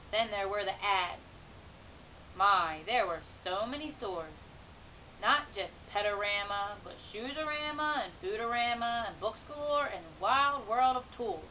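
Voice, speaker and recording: A woman reads a children's story aloud in an expressive, animated way, stretching words for emphasis. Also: mid-range voice. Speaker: teacher reading a children's book aloud. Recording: muffled, hissy home-style recording.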